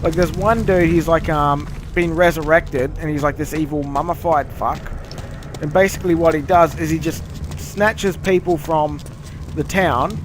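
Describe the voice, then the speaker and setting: A man's voice: a little high, steady tone, moderate pace. A man with an Australian accent chatting casually while he plays a game, rambling and swearing freely. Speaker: gamer playing on stream. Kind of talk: casual gaming chat. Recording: noisy game capture.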